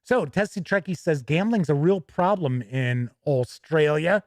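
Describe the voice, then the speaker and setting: A man hosting a tabletop gaming livestream, chatting casually with viewers in a folksy, jokey, animated way. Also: fairly high pitch, unhurried, no echo, clean studio sound.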